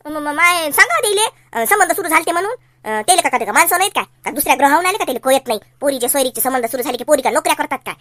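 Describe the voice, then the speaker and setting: A woman's voice: sits high, lively intonation, very fast, pushed and bright, dry recording. A woman voicing a fretful, doting middle-aged mother in a cartoon comedy, with a Varhadi Marathi accent and a homely, chiding way of talking. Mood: chiding, coaxing.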